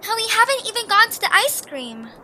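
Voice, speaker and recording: A woman's voice: extremely high pitch, big pitch swings, brisk, sharp tone, a little hiss or hum, heavily acted, rough recording. A woman playing a plucky, slightly sarcastic young adventurer in a fan audio drama. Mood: puzzled, determined. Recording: music clearly behind.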